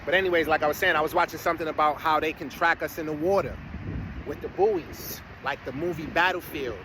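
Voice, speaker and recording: A man's voice: a little high, steady tone, fairly quick. Man in his late 30s ranting outdoors. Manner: rambling, streetwise slang, preachy asides. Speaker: vlogger. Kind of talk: casual monologue to followers. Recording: outdoor street noise, vehicles passing.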